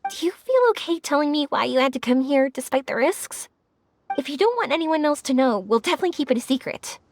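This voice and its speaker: An actress playing a kind, reassuring young woman in a video game, speaking gently and encouragingly. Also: high-pitched, lively intonation, fast talker, a little hiss or hum. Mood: gentle concern. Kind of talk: English video game voice acting. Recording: music behind the voice. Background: game background music.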